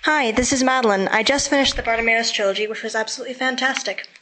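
Teen female reviewer, bright and rapid, scripted read. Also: fairly high pitch. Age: teens.

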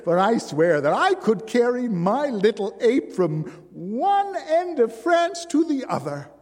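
A big, boisterous bride mocks her husband in a loud, sing-song voice, as a male reader puts her on. Her pitch leaps up and down with a long room echo behind it. She sounds strong and sure of herself. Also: wildly swooping pitch, measured pace, breathy edge, heavily acted. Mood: teasing hearty. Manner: hearty, mocking, loud. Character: strong, boisterous laughing female circus bride.

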